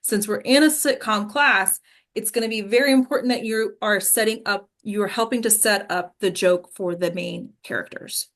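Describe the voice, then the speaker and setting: A woman's voice: medium pitch, normal speed, fairly bright tone. Woman coaching an informal class. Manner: explanatory, checks in with "okay?" and "right?". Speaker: acting coach and class host. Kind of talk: informal teaching talk.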